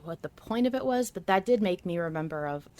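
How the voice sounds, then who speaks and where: A woman with a slightly low voice co-hosts a casual fan podcast. She talks in an informal, animated way, working out her ideas as she goes. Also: brisk. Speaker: podcast co-host. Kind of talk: casual fan discussion chat.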